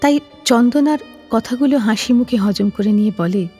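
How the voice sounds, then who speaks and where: A woman's voice: on the high side, moderate pace, mellow, slightly rough, in a large hall, faint music behind. A woman narrating a scripted story dramatically, as presenter of an audio drama.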